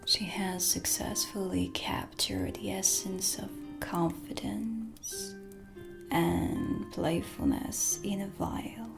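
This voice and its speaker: A woman playing a proud, mysterious dark witch with cool menace, whispering slowly and theatrically in an ASMR roleplay. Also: medium pitch, steady tone. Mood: curious, appraising. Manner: slow, breathy, theatrical, deliberate whispering. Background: dark ambient music.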